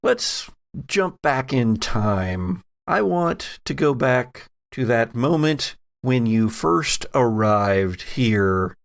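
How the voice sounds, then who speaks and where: A man narrating a tabletop horror game as its game master, in a measured, dramatic storytelling manner. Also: mid-range voice, vocal fry, no echo, studio quality. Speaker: game master and podcast host.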